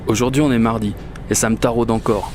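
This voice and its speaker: A man's voice: medium pitch, steady tone, quick pace, a little mellow, a little hiss or hum, close to an everyday voice. A man playing an obsessive, whimsical dreamer in a first-person radio fiction monologue, speaking in a confiding, colloquial, self-mocking way. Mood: confiding, determined. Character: obsessive, whimsical Parisian man confiding a magical commuting quest. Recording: urban and train ambience behind voice.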